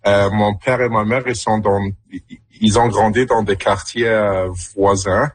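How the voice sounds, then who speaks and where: A man, a guest in an interview, talking haltingly with many fillers. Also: lowish voice, fairly even intonation, breathy edge, no room sound. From Morocco. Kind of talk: radio interview.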